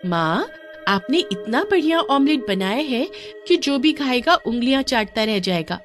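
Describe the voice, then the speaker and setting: A woman's voice: on the high side, very animated, unhurried, faint music behind, pitched above the performer's own voice. A woman doing a sweet young daughter. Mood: delighted.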